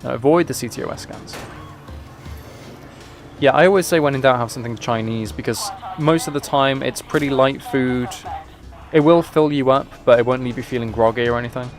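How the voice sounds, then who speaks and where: Male game streamer, mid-pitched with some fry, chatty and wryly dry, faint music underneath. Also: brisk. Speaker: streamer playing a video game. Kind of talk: casual livestream gaming chat and commentary.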